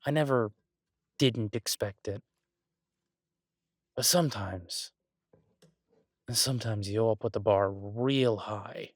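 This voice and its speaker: A close, dry recording of a man acting as a restaurant chef and devoted boyfriend in an audio roleplay. His voice sits on the low side, and he talks casually and haltingly, stammering into restarts, exasperated yet affectionate. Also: no echo. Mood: amused, incredulous. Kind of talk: intimate boyfriend roleplay, one-sided casual dialogue.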